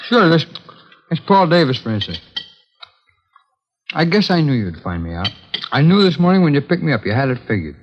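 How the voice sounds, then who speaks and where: Nonchalant murderer, folksy and rambling, swinging intonation, dull old radio recording with hall reverb. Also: male voice, a little high, sing-song, a little fast, pauses often, slight background noise. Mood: casual, explaining. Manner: rambling, matter-of-fact, folksy. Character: chatty, nonchalant male killer confessing without remorse. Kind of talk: 1950s radio acting.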